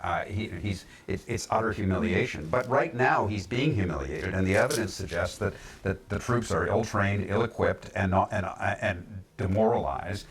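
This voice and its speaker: Low-voiced man, a halting, emphatic pundit. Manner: halting, repeating words, emphatic. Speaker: panel pundit. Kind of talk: broadcast political discussion.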